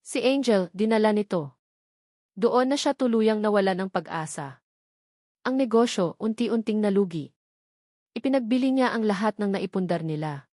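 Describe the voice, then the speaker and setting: A Filipino woman narrates a romantic audio drama in a mid-pitched voice. The sound is dry and close, without room echo. She phrases each line expressively and with measured suspense, as a storyteller does. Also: darkish tone.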